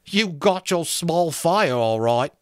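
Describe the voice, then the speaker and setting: A man acting out a high-pitched, whining schoolboy from an audiobook novel. He sounds wronged and anxious, nagging about rules in rough grammar, his voice swinging up and pressing loud with indignation. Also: lively intonation, slow, no room sound, clean studio sound. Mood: bitter. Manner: indignant, hurt, reproachful, ungrammatical. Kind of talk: dramatic audiobook reading.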